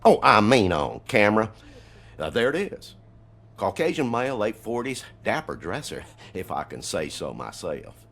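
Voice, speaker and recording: A hospital security guard in a comic game scene. His low voice swings up and down with self-importance. He is eager and pompous, borrowing police jargon to sound official. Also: very animated, normal speed, a bit bright, full character voice. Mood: self-important. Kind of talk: comic video game voice acting.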